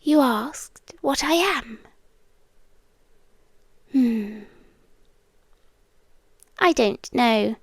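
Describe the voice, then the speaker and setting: Woman playing a high, very slow British demon girlfriend. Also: expressive, dry recording, studio quality, heavily acted. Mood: haunted, hushed. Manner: breathy, slipping into whispers, lingering pauses, coaxing. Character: lonely, possessive yandere demon girlfriend, once human, soft-spoken. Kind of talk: intimate ASMR roleplay. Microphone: close ASMR microphone, dry.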